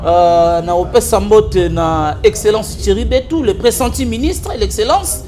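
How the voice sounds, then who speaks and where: A man with a Congolese accent, an animated and argumentative political commentator on a talk show. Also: sits high, unhurried, soft background music. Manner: animated, argumentative, mixes French and Lingala.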